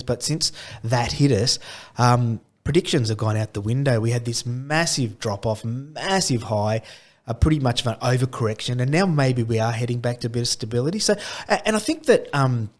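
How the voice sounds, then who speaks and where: A man hosts a podcast, his mid-range voice husky from a cold. He chats in an upbeat, friendly way. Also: mid-range voice, fairly quick. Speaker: podcast host. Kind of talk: casual podcast chat.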